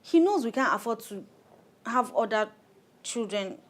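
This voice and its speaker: A woman's voice: fairly high pitch, lively intonation, measured pace. A woman with a Nigerian accent playing a harried but determined thirty-year-old mother in a radio drama, earnest and plain-spoken. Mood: insistent, frank. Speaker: clinic client in an educational radio play.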